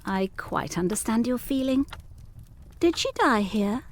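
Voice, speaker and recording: A woman playing an earnest young governess in a gothic period audio drama, speaking in formal, measured diction. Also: a little high, very animated, heavily acted. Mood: probing. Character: young pretty governess, earnest, questioning, protective.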